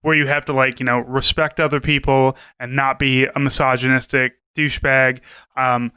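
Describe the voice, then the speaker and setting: A man's voice: mid-range voice, little pitch movement, moderate pace, sharp tone, smooth, no echo. A man co-hosting a podcast, giving casual commentary in a rambling, wry, sweary way. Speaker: podcast co-host.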